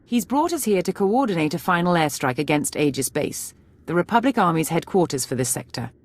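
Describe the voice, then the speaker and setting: An actress playing a brisk, authoritative military major giving briefings in a video game. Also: female voice, lowish voice, very animated. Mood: formal. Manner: crisp military briefing delivery.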